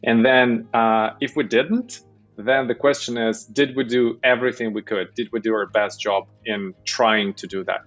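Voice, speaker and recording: A man's voice: medium pitch, fairly even intonation, normal speed, a bit bright, dull audio, faint music behind. A man speaking as a panel guest, conversational, with hesitant phrasing and frequent tag questions seeking agreement. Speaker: panel guest, product management director.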